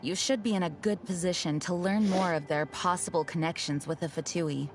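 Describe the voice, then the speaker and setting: An actress playing a calm, dutiful knight leader in a game, speaking in a formal, measured way. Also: female voice, fairly low pitch, close to an everyday voice. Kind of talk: game character dialogue.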